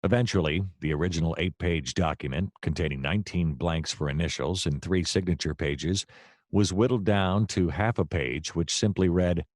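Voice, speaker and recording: An actor playing a wry, deadpan private detective who narrates a noir comedy radio play in wordy style. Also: male voice, deep voice, normal speed, no echo, studio quality. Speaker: narrator and lead character.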